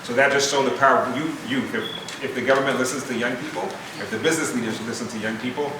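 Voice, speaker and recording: A man's voice: mid-range voice, steady tone, quick pace. A man born in Haiti and raised in Montreal, speaking earnestly to a youth audience as a foundation founder, often restarting his phrases. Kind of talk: informal speech to a live audience. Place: event room with an audience. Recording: echoey room, distant mic, noisy.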